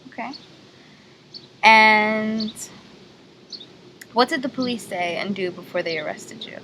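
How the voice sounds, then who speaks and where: A woman's voice: mid-range voice, slow, bright, forceful tone, slight background noise. A young American woman giving a class presentation and interviewing a guest, reading prepared figures with small stumbles. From United States. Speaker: student interviewer.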